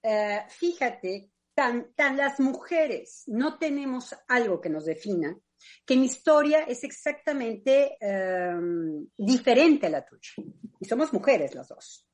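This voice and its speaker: A Mexican woman with a Mexican accent, a psychoanalyst talking on a radio panel in a thoughtful, personal way with frequent pauses to search for words. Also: a little high, expressive, a little mellow. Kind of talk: reflective radio discussion.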